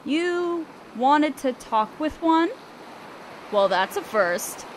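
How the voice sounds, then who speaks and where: A young female selkie, acted by a woman in a fantasy audio roleplay, with a fairly high and very animated voice. She sounds guarded and teasing, with a hesitant curiosity under the aloofness. Surf and wind are clearly heard behind her. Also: very slow, exaggerated voice, rough recording. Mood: aloof, reluctant. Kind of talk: scripted roleplay audio drama addressed to the listener. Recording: ocean waves and wind behind voice. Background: surf, waves and wind sound effects.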